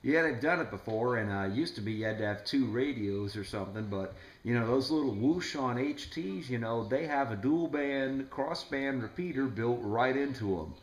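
A man's voice: mid-range voice, normal speed, few pauses, slight background noise. A man chatting casually over the radio as an amateur operator, explaining things in a rambling way. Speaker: ham radio operator making a video. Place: indoors in a home room. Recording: echoey room.

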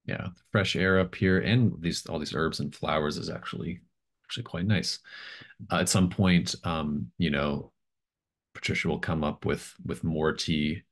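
A man's voice: rumbling low, moderate pace. A man running a tabletop role-playing game, narrating casually in an easygoing, hesitant way. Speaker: game master.

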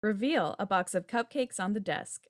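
A woman's voice: medium pitch, expressive, moderate pace, no echo. Woman reading stage directions, dry sound. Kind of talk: scripted narration. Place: home room on a video call.

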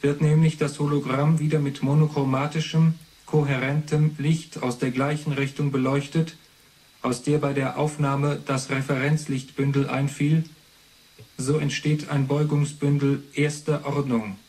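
An actor playing an impersonal information system, reciting entries in a formal, matter-of-fact way for a radio play. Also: male voice, on the high side, flat delivery, unhurried, a little mellow. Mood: neutral, factual.

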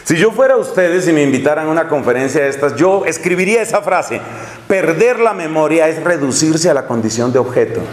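Expressive friar preaching in an echoey hall. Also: male voice, on the high side. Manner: expressive, gesturing, slows to stress key phrases. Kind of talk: lecture-style sermon. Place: large tiled hall with a projection screen.

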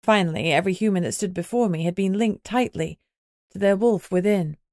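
A woman's voice: mid-range voice, fairly quick, darkish tone, no echo, clean studio sound. A woman reading scripted first-person audiobook narration.

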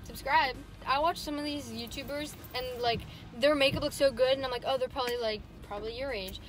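High-voiced young woman, teasing questioner; car, dashboard phone, stereo on. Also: steady tone, fairly quick, rough recording. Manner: giggly, asks quiz questions, teasing. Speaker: friend and guest. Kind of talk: casual chat with friends. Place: inside a car. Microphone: phone camera on the dashboard. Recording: car stereo music behind. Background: music playing in the car.

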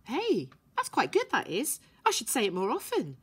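A smug, superior talking tomcat played by a woman reader drawls his words with a lazy, unhurried confidence. His voice has a slightly husky edge, and his tone swings between lofty and knowing. He belongs in a spoken storybook. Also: fairly high pitch, wildly swooping pitch, pitched above the performer's own voice, heavily acted. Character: smug talking male cat, dry and superior.

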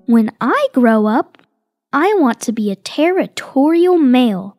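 A woman reading a children's picture book aloud, slow and expressive. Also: high voice, dry recording, studio quality, soft background music. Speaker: reader voicing tiger cubs.

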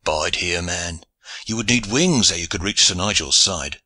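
A man voicing a big, hearty, excitable medieval English soldier in an audiobook, with exclamatory archaic speech. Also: deep voice, moderate pace, dry recording, clean studio sound, exaggerated voice. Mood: gruff, urgent. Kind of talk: audiobook character voice.

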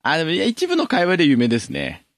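A man's voice: a little high, huge pitch swings, fast, no room sound, studio quality. A man around thirty co-hosting a podcast with comedic banter. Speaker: podcast co-host. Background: loud music.

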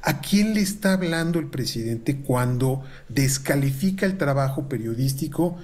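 A middle-aged Mexican man, a university researcher, giving measured, formal analysis from a room at home over a video call, with frequent filler pauses. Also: mid-range voice, lively intonation, a little mellow. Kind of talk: analytical commentary. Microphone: video call webcam.

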